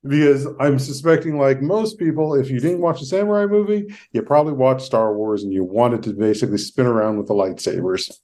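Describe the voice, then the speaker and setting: A man hosts a conversational interview in a mid-range voice. His tone is dark and relaxed, and his phrasing is halting and roundabout as he works toward each point. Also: mid-range voice. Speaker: podcast host, therapist.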